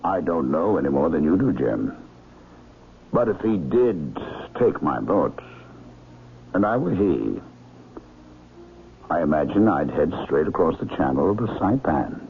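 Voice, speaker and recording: Knowing gentleman amateur detective, low and very animated, deliberate storyteller, old radio drama. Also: gravelly, heavily acted. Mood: reflective. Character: perceptive older gentleman amateur sleuth, unhurried and knowing. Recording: lo-fi noisy vintage radio broadcast.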